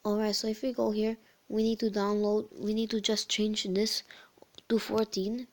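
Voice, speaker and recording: A host casually talking through a phone tutorial over a screen recording, her voice a bit croaky, often repeating words and filling with "so yeah". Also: mid-range voice, flat delivery, normal speed, a little mellow. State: slightly croaky voice. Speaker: channel host.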